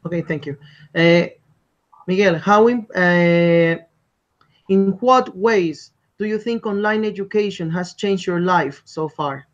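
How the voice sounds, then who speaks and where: A man conducting an English speaking exam over a video call, polite and measured, repeating his instructions. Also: sits high. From Colombia. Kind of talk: structured spoken exam interview. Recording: dull lo-fi call audio.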